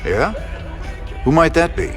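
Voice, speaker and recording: An actor playing a weary, principled middle-aged rebel commander in a video game, plain-spoken. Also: male voice, fairly high pitch, big pitch swings, unhurried. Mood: wry. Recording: music behind the voice.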